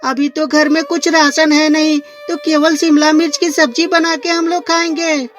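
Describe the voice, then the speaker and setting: Woman as village farmer's wife, pitched far up, caring, questioning, cartoon story, music behind. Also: level tone, moderate pace, natural voice. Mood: surprised, doubtful. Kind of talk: Hindi moral story cartoon voice acting.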